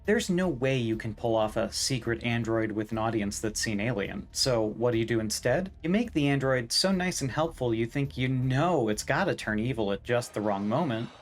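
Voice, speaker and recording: A man's voice: medium pitch, lively intonation, moderate pace, hardly any pauses, soft background music. A man narrating a scripted video essay in a wry, opinionated, emphatic way.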